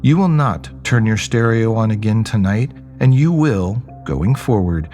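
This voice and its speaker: A man's voice: on the low side, animated, unhurried, mellow, faint music behind. Man voicing a stern, lecturing female police officer. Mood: stern, impatient. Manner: firm, curt, lecturing. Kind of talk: audio drama dialogue.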